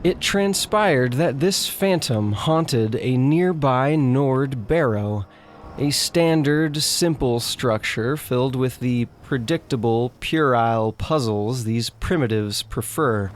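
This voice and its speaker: A man's voice: medium pitch, takes it slowly, slight background noise, lightly acted. A man voicing a haughty, condescending Imperial, narrating a theatrical recap in florid, scornful phrases. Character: pompous, condescending Imperial man sneering at provincial peasants. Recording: music behind the voice.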